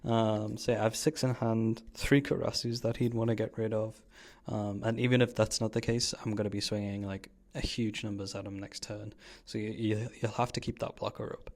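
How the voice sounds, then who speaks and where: Man, fairly low voice, casual gameplay commentator. Informal, slangy, explains each play as a fellow player. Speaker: card game player commentating his own match.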